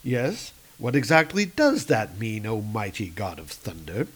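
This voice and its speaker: A man's voice: on the low side, very animated, measured pace, husky, full character voice. A man doing a mocking bull monster. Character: sly male bull-headed monster, mocking.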